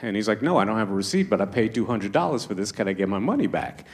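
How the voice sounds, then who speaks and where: A man's voice: fairly low pitch, big pitch swings, fast, slight background noise. A Trinidad-born man with a Canadian accent doing a stand-up comedy set, chatty and acting out characters, laughing at his own jokes. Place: live comedy show with audience.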